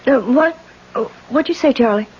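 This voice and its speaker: A woman's voice: high voice, normal speed, lightly acted. An actress playing a guarded, respectable widowed landlady in a 1970s radio drama, starting hesitantly and then turning prim and firm. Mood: distracted. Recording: dull, hissy 1970s radio broadcast recording.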